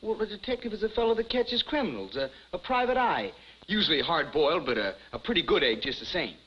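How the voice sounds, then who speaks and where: An actor playing a naive, earnest young amateur detective with boyish, stammering eagerness in a 1950s television comedy. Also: male voice, on the high side, very animated, fast, slightly rough. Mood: eager. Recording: muffled, hissy 1950s television soundtrack.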